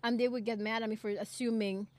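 A woman's voice: fairly high pitch, quick pace. A young woman from Ecuador, co-hosting a podcast and telling stories in casual chat, acting out the people she quotes. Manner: animated storytelling, acts out quoted people. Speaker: podcast co-host.

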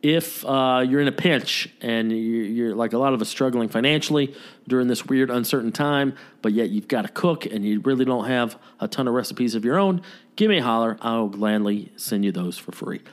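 A man's voice: medium pitch, normal speed. A man hosting a podcast in a casual, folksy, slangy way. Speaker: podcast host. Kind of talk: casual chat.

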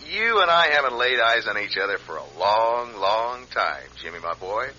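Sly, mock-friendly middle-aged blackmailer, very sharp and animated, needling. Vintage suspense radio. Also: male voice, on the low side, normal speed, full character voice. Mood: taunting. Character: smug, taunting blackmailer, falsely chummy old acquaintance. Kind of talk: classic radio suspense acting. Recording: dull lo-fi radio, faint noise.

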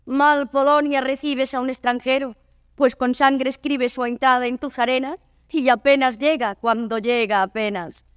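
An actress playing a proud, troubled noblewoman traveller, declaiming classical verse in a grand, measured way. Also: high-pitched, steady tone, smooth, no room sound, clean studio sound, close to an everyday voice. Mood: indignant despair.

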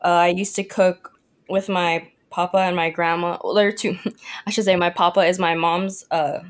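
A woman's voice: on the low side, fairly even intonation, moderate pace. Chatty young woman from Hawaii. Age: young adult. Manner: friendly and chatty, lots of "like" and "uh". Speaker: cook and guest. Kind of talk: casual interview chat.

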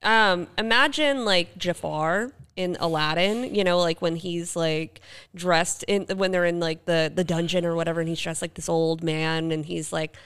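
Smooth, clear-voiced woman chatting casually. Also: on the low side, steady tone, moderate pace, fairly bright tone. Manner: informal, uses lots of "like". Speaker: podcast host. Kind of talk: casual conversational podcast chat.

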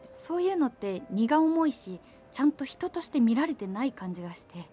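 Radio drama role: frank, polite but blunt young woman, fairly high voice, music behind. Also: sing-song, brisk, dark tone. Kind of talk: naturalistic radio drama dialogue.

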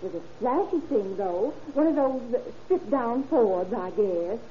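An actress playing a busy, dismissive housewife neighbor in an old-time radio drama, on a muffled, hissy recording. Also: female voice, fairly high pitch, lively intonation, a little slow, mellow. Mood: offhand, dismissive. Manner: dismissive, offhand. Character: busy, offhand housewife neighbor, unhelpful to police.

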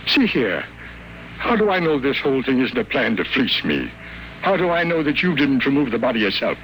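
A man's voice: medium pitch, big pitch swings, fairly quick, fairly bright tone. An actor playing a wealthy, suspicious older businessman and father, formal and demanding, in old-time radio drama style. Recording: faintly noisy old radio recording.

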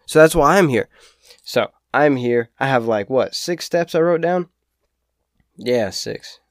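Young man, teens, raspy, sick with a cold, rambling casual podcast talk, middle pitch, dry close sound. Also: expressive, a little slow, no room sound. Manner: rambling, lots of fillers, upbeat. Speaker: podcast host. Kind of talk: casual solo talk about saving money.

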